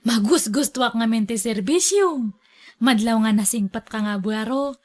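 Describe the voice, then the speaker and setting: A woman's voice: a little high, moderate pace, close to an everyday voice. A woman acting a flirtatious, wealthy lady boss in a radio drama, coy and teasing. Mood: flirty, teasing.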